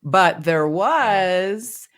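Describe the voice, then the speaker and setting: An American woman co-hosting a podcast, reading a listener email aloud in an upbeat, measured way. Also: medium pitch, lively intonation. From United States. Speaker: podcast co-host.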